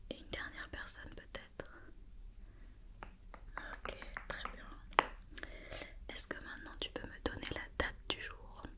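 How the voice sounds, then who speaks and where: Female ASMR performer, fully whispered, gentle and reassuring clinician giving patient instructions. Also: slow, long pauses. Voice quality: soft unvoiced whisper. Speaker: ASMR roleplayer playing a company doctor examining a robot. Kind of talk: whispered ASMR roleplay in French.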